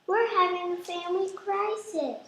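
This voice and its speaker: A woman's voice: very high voice, moderate pace, slight background noise. A woman playing a stubborn, upset young daughter in a radio play, insistent and pouting. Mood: defensive. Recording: echoey room.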